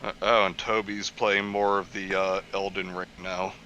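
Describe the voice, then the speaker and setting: Very bright-voiced snarky guy. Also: low voice, little pitch movement, moderate pace, muffled recording, a little hiss or hum. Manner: snarky, self-deprecating. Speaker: co-commentator. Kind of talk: casual joking group chat.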